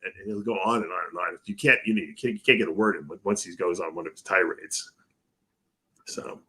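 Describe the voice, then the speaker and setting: A man hosting a casual talk radio show, telling stories in an animated way and acting out other people's voices. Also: sits low, fairly quick. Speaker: host.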